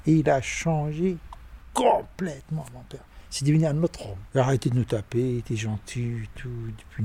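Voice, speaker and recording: A man in his sixties with a Tunisian accent, telling stories about his childhood in a halting, animated way. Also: breathy edge. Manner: animated, halting, with sudden pitch jumps. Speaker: interviewee. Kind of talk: personal storytelling.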